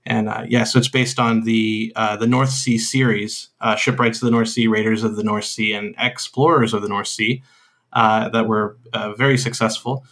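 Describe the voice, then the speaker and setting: A man's voice: on the low side, flat delivery, moderate pace, fairly bright tone. A man who designs games, a guest on a podcast, explaining his game in a rambling way with many fillers and restarts. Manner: rambling, full of uhs and restarts. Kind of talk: casual interview chat.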